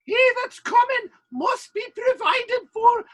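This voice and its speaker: Exasperated stage manager and playwright: very high, bright, declamatory, theatrical audio drama. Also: male voice, animated, takes it slowly, heavily acted. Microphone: video call recording.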